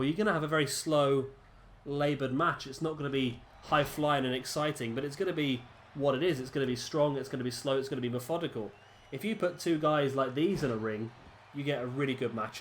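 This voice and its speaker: A man's voice: medium pitch, a little hiss or hum. A man, congested from a cold, giving rambling, chatty commentary over a video game. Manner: rambling, chatty, many restarts. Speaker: gaming commentator. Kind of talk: casual gameplay commentary.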